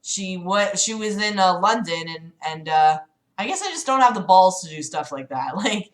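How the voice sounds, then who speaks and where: A woman's voice: on the low side, expressive, moderate pace. A woman chatting casually as a livestream host, rambling hesitantly in a self-deprecating way. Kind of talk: casual chat with livestream audience.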